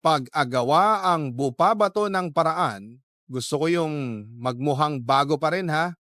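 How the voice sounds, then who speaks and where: A man's voice: a little high, unhurried, fairly bright tone, no echo, studio quality. A man voicing a bossy, condescending elder sister in a radio drama, with a demanding manner.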